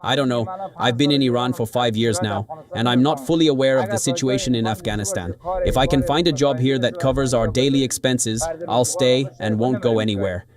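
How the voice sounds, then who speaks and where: A man's voice: mid-range voice, fairly quick, far from the mic. Man interviewee, hesitant uncertain talk, a bit off-mic, music behind.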